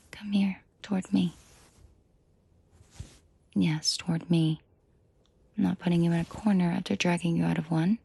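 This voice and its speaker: Woman acting a breathy, protective mafia boss lady. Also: on the low side, full character voice. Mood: gently reassuring. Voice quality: breathy, soft, often whispered. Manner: unhurried, dry teasing, quietly authoritative. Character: protective, commanding female mafia boss, tender and menacing. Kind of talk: intimate ASMR roleplay audio acting.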